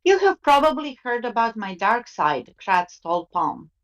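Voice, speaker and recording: A woman's voice: a little high, sing-song, measured pace, highs cut off. Analytical woman, reading and discussing. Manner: analytical, asks for agreement. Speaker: podcast co-host and reader. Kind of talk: casual discussion and reading aloud.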